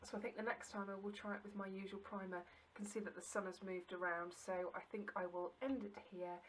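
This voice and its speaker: A woman's voice: mid-range voice, flat delivery, fairly quick, slight background noise, rough recording. A woman vlogger giving a casual, chatty and understated makeup review to the camera in an informal way.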